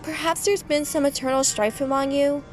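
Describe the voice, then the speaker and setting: A woman's voice: sits high, steady tone, normal speed, faint music behind, close to an everyday voice. A woman playing a noble young girl, stern yet gracious, speaking earnestly and formally in an anime fandub. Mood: composed, resolute.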